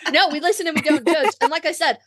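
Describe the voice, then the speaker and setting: A woman hosting a casual podcast chat in a playful, animated storytelling manner. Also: sits high, fast, dry recording, studio quality. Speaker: podcast host.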